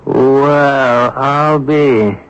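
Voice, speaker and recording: A man acting in a radio mystery drama as a shrewd, curious amateur sleuth with a measured, analytical manner. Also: medium pitch, clear, resonant tone. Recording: dull, band-limited radio drama recording.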